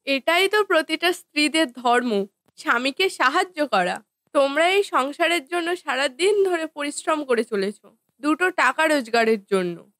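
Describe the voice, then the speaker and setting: A woman voicing a sweet, dutiful wife in a Bengali family cartoon, gentle and earnest in manner. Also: sits high, no room sound, studio quality, heavily acted.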